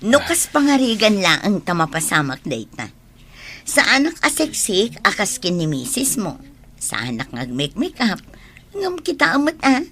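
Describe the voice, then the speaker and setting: Flirty Ilocano gossip, faint noise behind. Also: female voice, medium pitch, wildly swooping pitch, normal speed, a bit bright. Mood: teasing, flirtatious. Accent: Filipino, Ilocano. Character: flirtatious, gossiping female friend, teasing and forward. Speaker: radio drama actor. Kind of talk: conversational radio drama acting.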